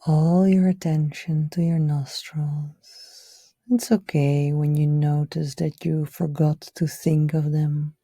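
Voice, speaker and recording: A woman leading a guided meditation in a gentle, soothing, unhurried way, her soft voice often trailing off toward a whisper. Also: low-pitched, little pitch movement, no echo, studio quality. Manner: soothing, gentle, unhurried, with audible sighs and breathy pauses. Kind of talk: guided meditation, live session.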